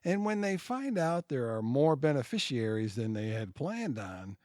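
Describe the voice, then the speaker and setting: A man acting a polite, fatherly family retainer in an audio play. He speaks formally at a medium pitch with animated intonation, recorded dry and close. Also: moderate pace, no echo, lightly acted. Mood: storytelling. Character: courteous, fatherly family employee of a late millionaire. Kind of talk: narration and audio theatre acting.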